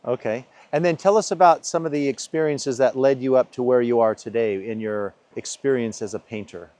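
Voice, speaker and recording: An American man interviewing a painter, asking warm leading questions in an easy conversational way. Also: mid-range voice, a little fast, no room sound. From United States. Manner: friendly, leading questions, talks over answers.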